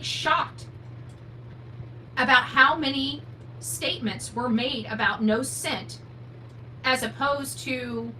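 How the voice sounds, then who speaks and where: Blunt, bright-voiced woman livestreamer. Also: on the high side, rough recording. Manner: blunt, opinionated, emphatic, asks rhetorical questions. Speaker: true crime livestream host. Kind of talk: unscripted livestream commentary, replying to viewer comments. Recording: noticeable background noise with hum.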